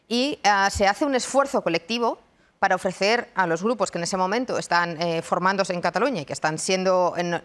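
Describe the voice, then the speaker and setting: A woman from Madrid, a university researcher and lecturer in her thirties, giving measured, reflective answers in a TV studio interview. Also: mid-range voice. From Madrid, Spain. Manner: thoughtful, measured, frequent restarts. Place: television studio.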